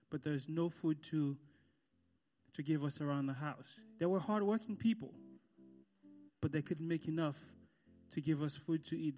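A man from Haiti speaks in church with a slightly raised voice, measured and storytelling, slowing down on key phrases. Soft guitar music plays quietly underneath. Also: on the high side. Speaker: guest speaker. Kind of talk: testimony talk in church.

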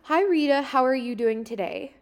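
A woman's voice: high-pitched, lively intonation, moderate pace. A woman hosting a podcast, greeting her guest and posing prepared interview questions in a friendly way. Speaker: podcast host.

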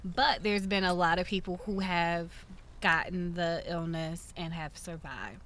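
A woman turning forty, a podcast guest, explaining things haltingly with lots of ums. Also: lowish voice, fairly even intonation, fairly bright tone, slight background noise. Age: 40s. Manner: halting explanations with many fillers. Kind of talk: casual chat.

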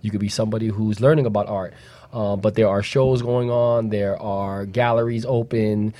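A man's voice: fairly low pitch, steady tone, moderate pace, warm tone. A man co-hosting a talk show in casual radio chat, with playful, teasing, slangy banter.

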